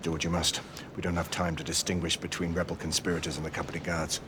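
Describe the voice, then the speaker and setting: An actor playing a grave, commanding older knight in a video game, speaking in measured, terse orders. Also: male voice, low voice, flat delivery, noisy background, close to an everyday voice. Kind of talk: video game cutscene acting.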